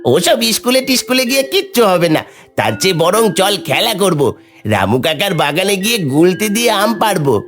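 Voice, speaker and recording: A woman's voice: medium pitch, big pitch swings, a little fast, soft background music, close to an everyday voice. A woman voicing an idle, mischievous older village boy in a cartoon, teasing and cocky. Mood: mocking. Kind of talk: cartoon story dialogue.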